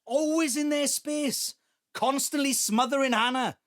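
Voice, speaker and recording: A man's voice: very high-pitched, a little slow, fairly bright tone. A man playing an angry, accusing adult son in an audio drama, agitated throughout.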